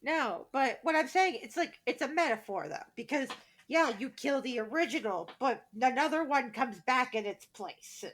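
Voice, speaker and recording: A woman's voice: sits high, animated, normal speed, fairly bright tone, a little hiss or hum. A woman co-hosting a podcast, chatting casually in a brash, blunt, jokey way. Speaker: podcast co-host.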